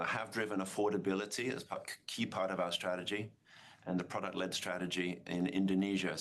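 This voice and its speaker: A man speaking as a company executive, giving measured, formal answers on an earnings call. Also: deep voice, fairly bright tone.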